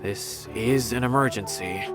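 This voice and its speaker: A man's voice: mid-range voice, measured pace, natural voice. A man playing an earnest, loyal young investigating officer in a video game, speaking dramatically. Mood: uneasy, resolute. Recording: game music behind the voice.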